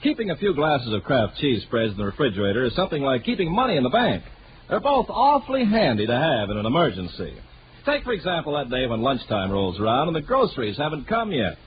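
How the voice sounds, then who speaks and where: A man's voice: mid-range voice, huge pitch swings, fast talker. An American man reading a scripted 1940s radio advertisement as the announcer. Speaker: commercial announcer. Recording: muffled, very noisy 1940s radio recording.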